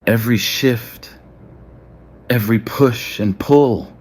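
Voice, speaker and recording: A man narrating scripted romance fiction in a measured, deliberate way with dramatic pauses. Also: mid-range voice. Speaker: audio story narrator.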